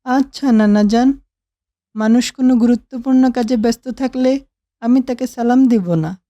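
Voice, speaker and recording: Woman as a mellow, high-voiced polite little boy. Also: normal speed, no room sound, close to an everyday voice. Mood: obedient. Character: polite, obedient, pious little grandson. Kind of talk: animated cartoon voice acting.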